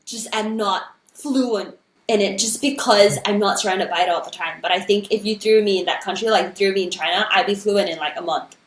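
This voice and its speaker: A young woman from Singapore talks through her ideas in a relaxed podcast chat. She is reflective and bubbly, with frequent "like" and "right?" tags. Her voice sits at a middle pitch and carries a roomy echo. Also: brisk. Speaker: guest singer and content creator. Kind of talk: casual podcast conversation.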